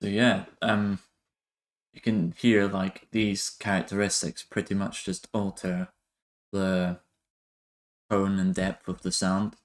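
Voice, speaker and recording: Low-pitched, monotone man giving a tutorial. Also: takes it slowly, long pauses. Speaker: music production tutorial host. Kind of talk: casual software tutorial narration.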